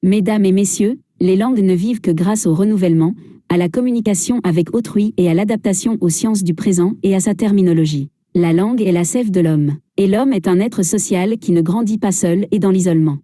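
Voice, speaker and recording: Female reader, formal and solemn; very dark, mellow voice recorded dry. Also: mid-range voice, a little fast, dry recording. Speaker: reader of a translated speech. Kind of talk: formal reading of a speech.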